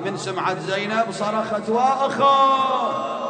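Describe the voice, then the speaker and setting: A man with an Iraqi accent chanting a lament as a mourning reciter, in a wailing sung style with quick spoken asides. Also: extremely high pitch, fairly even intonation, heavy background noise. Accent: Iraqi Arabic. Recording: echoey hall, loud crowd ambience.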